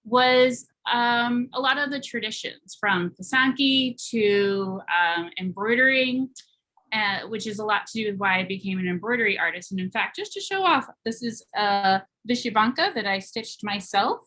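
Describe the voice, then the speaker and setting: Bright-voiced American woman artist. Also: medium pitch, moderate pace, rough recording. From United States. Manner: earnest and reflective, many fillers and restarts. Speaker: artist speaking on a panel. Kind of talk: panel talk presenting her art.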